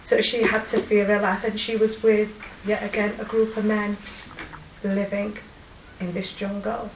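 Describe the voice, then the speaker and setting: A woman in her forties with a strong Yorkshire accent, telling of her volunteer work in a halting, emotional way with many pauses and fillers. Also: medium pitch, flat delivery, echoey room, recorded from a distance, background noise. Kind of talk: personal testimony.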